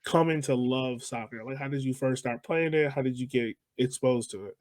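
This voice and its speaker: A man's voice: medium pitch, normal speed, darkish tone, no room sound. A man hosting a podcast, chatting informally and jokingly with a guest in a casual interview style. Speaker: podcast host.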